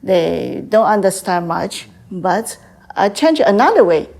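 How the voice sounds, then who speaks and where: An older woman from Taiwan with a Taiwanese accent, answering interview questions in halting English with frequent fillers. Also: mid-range voice, big pitch swings, creaky, a little mellow. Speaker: guest, tea house owner.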